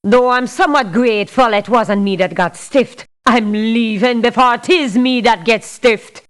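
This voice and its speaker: Slow, clear-voiced, blunt female mercenary, acted by a woman. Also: a little high, close to an everyday voice. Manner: blunt, measured, firm. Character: tough, blunt, principled female mercenary for hire. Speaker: mercenary character in a strategy game. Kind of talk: video game voice clips, scripted refusals and barks.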